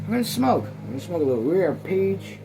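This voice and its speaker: A man's voice: high voice, big pitch swings, fast talker, over music, rough recording. A man hosting a read-along, reading a novel aloud informally and breaking off to comment. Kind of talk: casual audiobook reading with asides.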